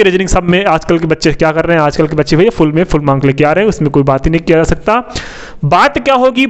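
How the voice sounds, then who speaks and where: A male coaching teacher speaks in a distinctly high voice. He explains informally, as if walking students through a list. He repeats key points and often poses a question, then answers it himself. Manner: repetitive, asks questions and answers them himself, frequent tag questions. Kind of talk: informal explanatory lecture on an exam syllabus.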